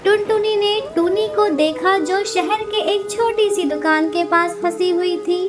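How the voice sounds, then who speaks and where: A woman narrates a children's story in an extremely high voice. She takes her time as a storyteller does, over loud background music. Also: unhurried.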